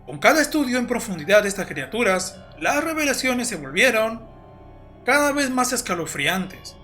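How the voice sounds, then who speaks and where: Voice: medium pitch, big pitch swings, normal speed, sharp tone, soft background music. A narrator, presenting a scripted video essay in an explanatory storytelling way. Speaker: host and narrator of a horror analysis channel.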